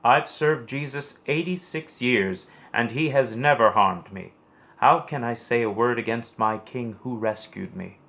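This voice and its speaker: A man's voice: medium pitch, measured pace, creaky, a bit bright. A steadfast, wise old bishop in his eighties, read by a male storyteller. He speaks firmly and with resolve, in a storybook style. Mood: defiant.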